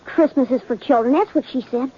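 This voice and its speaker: A young runaway boy in an old radio western. The voice is high, plain-spoken and a little hesitant, polite but wounded underneath. The sound is dull and vintage. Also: fairly quick, husky. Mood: sullen, hurt. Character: orphaned young runaway boy, sullen yet curious. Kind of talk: 1950s radio western acting.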